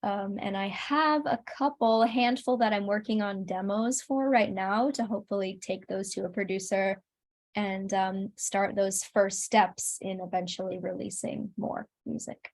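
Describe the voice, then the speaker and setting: Female interview guest, average pitch, casual conversational delivery, thoughtful and earnest. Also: steady tone, measured pace. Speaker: interview guest, singer-songwriter.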